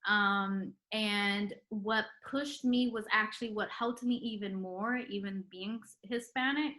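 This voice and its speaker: A young woman with a bright, forceful voice talks through her story in a relaxed interview. She fills her speech with ums and likes and laughs easily as she goes. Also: medium pitch, unhurried. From Puerto Rican heritage. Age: young adult. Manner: chatty, many ums and likes, laughs often. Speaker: interview guest, retired nurse. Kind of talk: casual interview chat.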